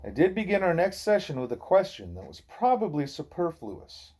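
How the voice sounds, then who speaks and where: A man's voice: on the high side, very animated, moderate pace, darkish tone, a little hiss or hum. A man reading a novel aloud as an audiobook narrator. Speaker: audiobook reader.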